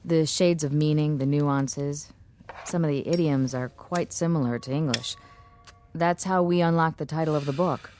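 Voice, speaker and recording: An actress playing a thoughtful, quietly uneasy woman in a radio drama, measured, dry and understated. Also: low-pitched, faint music behind, natural voice. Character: thoughtful, uneasy female language analyst, quietly wary.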